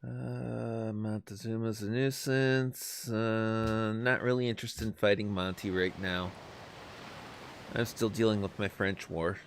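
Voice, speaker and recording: A man's voice: on the low side, level tone, slow, a little hiss or hum. A man streaming a strategy game, chatting casually with viewers, hesitant and self-deprecating, with many fillers. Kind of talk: casual live-stream commentary. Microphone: desk microphone, no camera.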